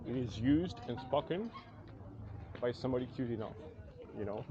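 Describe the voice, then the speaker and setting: A man's voice: mid-range voice, expressive, normal speed, background noise. A man from France with a French accent, chatting and joking in a rambling way while walking an outdoor night market as a travel vlogger, recorded on a camera he carries. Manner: chatty, joking, self-deprecating, many fillers. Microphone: 360 camera mic carried while walking. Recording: dull lo-fi street recording. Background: loud street and crowd ambience, vehicles.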